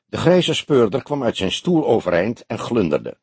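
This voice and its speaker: A male narrator reads detective fiction expressively. His voice is medium in pitch with lively movement and a husky grain, in a dry, close-sounding audiobook recording. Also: normal speed, no echo.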